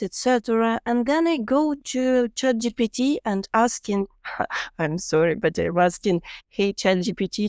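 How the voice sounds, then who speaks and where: A woman's voice: fairly high pitch, a little mellow, clear, resonant tone, no room sound, clean studio sound. A woman with a Ukrainian accent, a non-native English speaker hosting a podcast interview, explaining tips earnestly and laughing easily. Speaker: podcast host.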